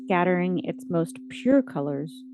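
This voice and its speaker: A woman narrating a scripted guided meditation with scripture readings, reciting in measured short phrases. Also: lowish voice, lively intonation, noisy background.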